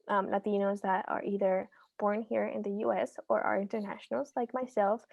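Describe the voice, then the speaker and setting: Gravelly-voiced woman on a dry call. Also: medium pitch, darkish tone, no echo. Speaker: student speaker. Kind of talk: casual talk on a webinar. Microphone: video call.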